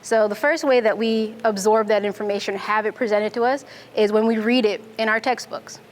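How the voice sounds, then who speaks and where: Woman, young adult, from Fiji, clear and methodical, slight hiss behind. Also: mid-range voice, steady tone, a little fast. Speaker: Navy nuclear instructor and student. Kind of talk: interview answers.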